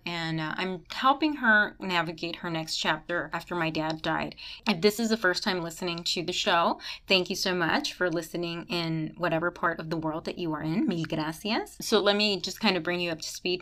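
A woman's voice: on the low side, fairly bright tone. Stuffy-nosed woman podcast host. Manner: candid, chatty, drops Spanish phrases. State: congested, stuffy nose. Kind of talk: casual podcast intro monologue.

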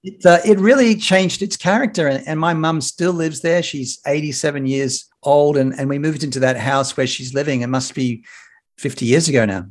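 A man in his fifties with an Australian accent, a broadcaster telling stories as a podcast guest in a relaxed way, with many fillers and restarts. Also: on the high side, normal speed. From Sydney, Australia. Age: 50s. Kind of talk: casual interview chat. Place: home near a rail line.

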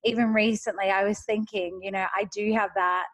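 A woman's voice: mid-range voice, steady tone, a little fast, fairly bright tone. A woman from Perth with an Australian accent, hosting a podcast interview in an encouraging, reflective way. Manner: encouraging, reflective, uses "you know". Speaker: podcast host. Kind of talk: conversational interview.

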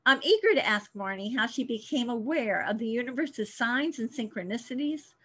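A woman hosting a podcast, reading a measured scripted intro and then interviewing a guest from her studio. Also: a little high, a bit bright. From United States. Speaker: podcast host. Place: home studio.

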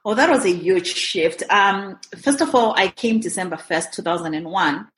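A woman's voice: mid-range voice, normal speed. Woman from Zimbabwe telling her story. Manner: lively storytelling with quoted inner thoughts. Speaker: guest, real estate investor. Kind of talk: interview answers.

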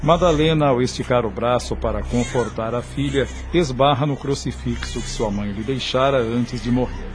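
A man narrating a radio drama in a formal, solemn and measured storytelling style. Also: mid-range voice. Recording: radio production with music underneath. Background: background music.

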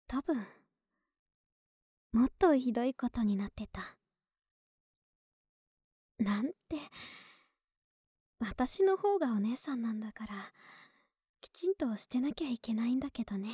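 A woman acting as an affectionate young big-sister neighbor in an ASMR audio drama. Her voice is high yet soft and mellow, often dropping into a breathy whisper. She talks slowly and intimately, with a gently teasing, lonely sweetness. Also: lively intonation, dry recording, studio quality, exaggerated voice. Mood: tender, confiding. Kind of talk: Japanese ASMR situation voice drama.

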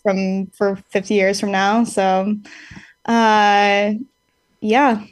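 A woman's voice: medium pitch, little pitch movement, extremely slow, no echo. A woman in her late twenties who sings in a band, talking casually in an interview. She rambles a little, thinks aloud and fills gaps with ums, and she laughs easily. Her voice sits mid-range and is recorded close and dry. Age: late 20s. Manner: rambling and reflective, with many fillers and easy laughs. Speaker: band singer, podcast guest.

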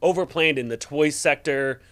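A man co-hosting a tabletop gaming news show, talking through the news conversationally with halting phrasing and repeated words. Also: fairly high pitch, fairly bright tone, clear, resonant tone.

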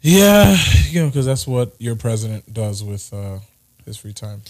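A man with a lowish voice, ribbing his co-host in lively, casual podcast banter. The sound is dry and close to the mic. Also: unhurried, no room sound. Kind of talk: casual chat.

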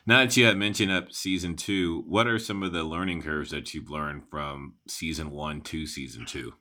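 A man's voice: rumbling low, moderate pace, sharp tone. A man hosting a podcast interview in a casual, conversational style.

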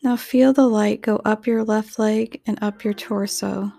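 Woman doing guided meditation, real slow n soft, mellow voice w some fry, soothing. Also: mid-range voice, steady tone, no room sound. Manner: soothing, measured, instructional. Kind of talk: guided meditation, scripted narration.

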